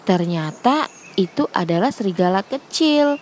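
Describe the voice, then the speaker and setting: A woman's voice: medium pitch, expressive, moderate pace, a little mellow, slight background noise. A woman narrating a scripted children's fable as a storyteller.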